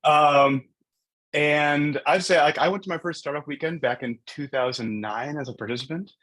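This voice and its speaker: A man's voice: fairly high pitch, normal speed, a bit bright. A man speaking casually on a video call panel, enthusiastic and loose, with many filler words. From based in San Diego. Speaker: iOS developer and event facilitator. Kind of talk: casual online panel talk.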